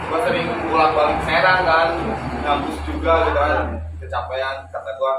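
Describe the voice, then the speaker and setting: A young man talks casually on a panel, joking as he defends himself. His voice sits a little high and comes from off the mic, heavily echoed. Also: fairly even intonation, moderate pace. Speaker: talk show panelist. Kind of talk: casual chat. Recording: distant mic, very echoey, noisy ambience.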